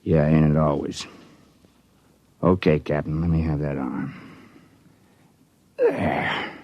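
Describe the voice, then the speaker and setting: An actor playing a gruff, slangy American army medical sergeant in an old radio drama. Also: male voice, steady tone, slow, muffled recording, exaggerated voice. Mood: grim, weary. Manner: brusque, slangy, barking orders. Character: gruff working-class army medical sergeant amid battlefield chaos. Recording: noisy old radio recording, vehicle rumble.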